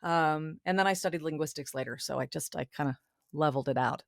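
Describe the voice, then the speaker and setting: A woman's voice: sits low, fast talker, vocal fry, dry recording, studio quality. A woman voice actor, born in Ireland, chatting as a podcast guest and laughing easily. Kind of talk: casual interview chat.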